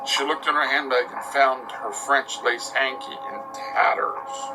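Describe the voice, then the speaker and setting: A man narrating a scripted radio drama as a storyteller, with measured, dramatic delivery. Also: mid-range voice, very animated, low-quality recording. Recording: loud music behind narration.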